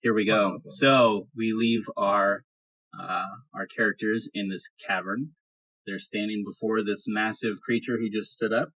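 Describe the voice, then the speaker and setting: A man runs a tabletop game as game master, improvising haltingly. His voice is low and husky, on a slightly dull recording. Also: steady tone, a bit bright. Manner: halting, repeats phrases.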